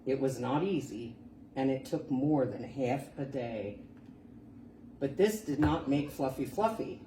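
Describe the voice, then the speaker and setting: A woman reading a children's picture book aloud as a storytime reader, expressive and deliberate. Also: rumbling low. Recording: roomy, distant mic, faint background noise.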